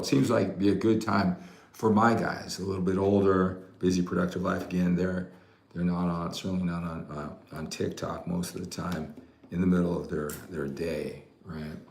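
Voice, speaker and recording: Gravelly, echoey American man livestreaming. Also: low voice, darkish tone. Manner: rambling, often adds "right?" as a tag. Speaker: fitness coach hosting a livestream. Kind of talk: casual livestream chat to viewers. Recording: roomy, echoey room sound.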